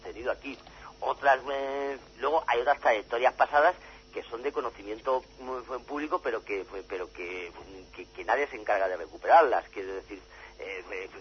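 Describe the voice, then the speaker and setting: Muffled halting male interview guest. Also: mid-range voice. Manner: halting, many fillers and restarts, then rushes ahead. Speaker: journalist and author being interviewed. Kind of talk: radio interview answers. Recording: muffled lo-fi radio audio with faint hum.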